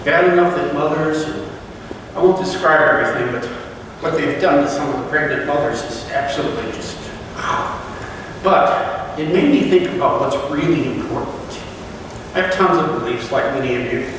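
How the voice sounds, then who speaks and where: A man giving a personal reflective talk to a fellowship, halting and searching for words with frequent restarts. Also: a little high, background noise. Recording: echoey hall from far off.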